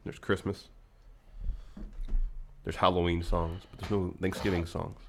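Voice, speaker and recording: A man's voice: deep voice, little pitch movement, a little slow, creaky, background noise. A man co-hosting a casual livestream, slightly congested with a lingering cough, reading viewer chat in an easygoing way. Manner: easygoing, reads chat aloud. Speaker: podcast co-host.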